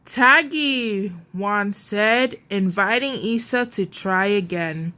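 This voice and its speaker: A woman reading a children's story aloud in a deliberate, expressive way. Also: on the high side. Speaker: storybook reader. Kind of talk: scripted children's story narration.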